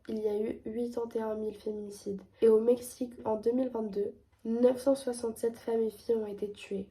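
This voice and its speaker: A woman's voice: a little high, mellow, far from the mic, low-quality recording. A young woman with a cold, speaking, earnestly explaining a topic step by step as the host of an educational video. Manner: clear, earnest explaining, reading definitions and figures. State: stuffy, has a cold. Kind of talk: explanatory presentation.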